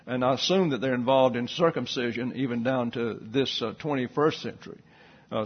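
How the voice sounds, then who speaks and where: A man teaching a Bible study lecture, reading passages and footnotes aloud with asides and slowly spelling out Greek words. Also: medium pitch, highs cut off.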